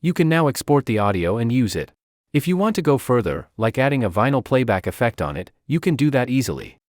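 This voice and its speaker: A man narrates a scripted how-to for software. His pitch moves in a lively way from phrase to phrase. The recording is close and dry, with very little room sound. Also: no echo. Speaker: tutorial narrator.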